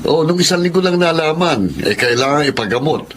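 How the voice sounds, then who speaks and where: A man playing a chatty young gentleman. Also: on the high side, expressive, a little fast, slight background noise, lightly acted.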